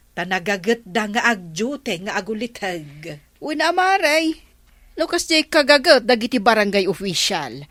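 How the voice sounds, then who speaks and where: A woman's voice: mid-range voice, a bit bright, dry recording. A woman playing a chatty, opinionated villager in an Ilocano comedy drama, with an Ilocano accent.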